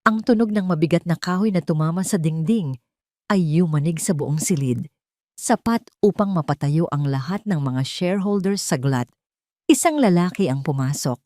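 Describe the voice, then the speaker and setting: A woman's voice: on the low side, expressive, normal speed, warm tone, dry recording, studio quality. A woman with a Filipino accent narrating a scripted dramatic story.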